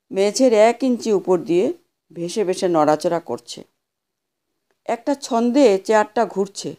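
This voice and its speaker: A woman reads a scripted ghost story in a soft, dark-toned voice. She sits at a middling pitch and delivers the tale in a measured, dramatic way, as an audio storyteller would. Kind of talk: scripted horror story narration.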